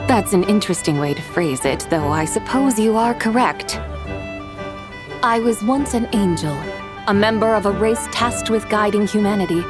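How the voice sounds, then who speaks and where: A woman's voice: medium pitch, moderate pace, natural voice. A woman voicing a serene, learned, ancient celestial envoy in a video game, speaking in a formal, poised and instructive manner. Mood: reflective, explaining. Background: game music.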